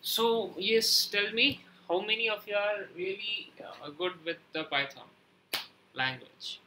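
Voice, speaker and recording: Guy mentoring a class on a video call, super bright slightly high voice, lots of okay and uh. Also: slow pace, slight background noise, poor audio. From West Bengal, India. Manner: loose and conversational, many fillers like okay and uh. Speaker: online course mentor. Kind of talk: online class intro and lecture.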